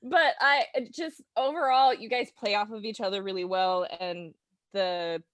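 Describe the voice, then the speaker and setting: American woman podcast guest, middle pitch, casual n keen, kinda hesitant, dry audio. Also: measured pace, fairly bright tone, no echo. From Oklahoma, United States.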